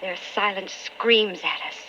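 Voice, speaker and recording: An actress playing a candidate's anxious, devoted wife in an old-time radio drama, earnest and melodramatic, with brooding narration. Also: female voice, mid-range voice, a little slow, bright, forceful tone. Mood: brooding, foreboding. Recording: noisy, muffled vintage radio recording.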